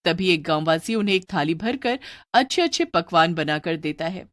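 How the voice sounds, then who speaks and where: A woman's voice: on the low side, lively intonation, moderate pace, no room sound, clean studio sound. A woman narrator reading a scripted story in a dramatic storytelling style.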